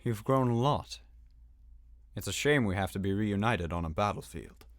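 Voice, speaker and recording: Low-voiced earnest young knight, played by a man. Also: lively intonation, moderate pace, no echo. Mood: warm surprise. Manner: serious, formal, slightly stiff. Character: earnest, dutiful young male knight, gallant and kindly. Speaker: fan dub voice actor. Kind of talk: acted game dialogue.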